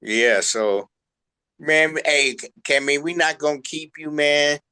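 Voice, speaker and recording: A man's voice: a little high, takes it slowly, pushed and bright, smooth, no room sound, clean studio sound. A man hosting a casual interview, speaking in a familiar, colloquial way. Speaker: show host.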